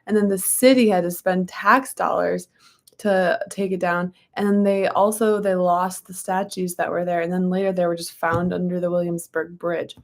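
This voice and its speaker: A young woman with a hoarse voice, chatting casually and reading a book aloud, with frequent ums and likes. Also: on the low side, steady tone, moderate pace.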